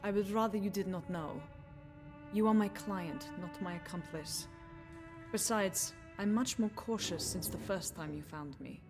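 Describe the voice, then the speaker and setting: An actress playing a composed, defiant nurse in a video game, speaking in a measured, frank, dryly pointed way. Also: female voice, mid-range voice, natural voice. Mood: frank, guarded. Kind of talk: video game dialogue acting. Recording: music behind the voice.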